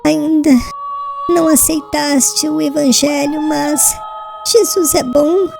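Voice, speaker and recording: A woman acts out a serene young woman on her deathbed in a solemn audiobook drama. Her voice is high and soft-edged, with little creak. She speaks very slowly and haltingly, tender and devout, as if spending her last breath, over faint music. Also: steady tone, exaggerated voice. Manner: slow, halting, breathless last-words delivery.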